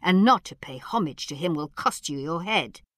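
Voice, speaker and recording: A woman's voice: low-pitched, lively intonation, normal speed, fairly bright tone, dry recording, clean studio sound. A woman voicing a pompous male court secretary in a storybook, declaiming commands with reverent self-importance. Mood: protesting.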